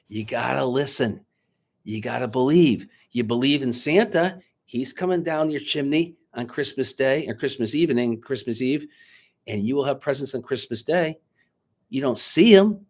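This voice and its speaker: A man reading a picture book aloud dramatically to children, pausing to coax them with questions like a teacher. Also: mid-range voice. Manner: dramatic, teacherly, coaxing young listeners with questions.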